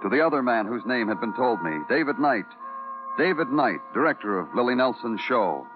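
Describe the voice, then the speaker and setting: A man with an American accent playing a hard-nosed, wry New York police detective in an old-time radio crime drama, terse and deadpan. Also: medium pitch, brisk, lightly acted. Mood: wry, brooding. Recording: muffled vintage radio recording, music behind.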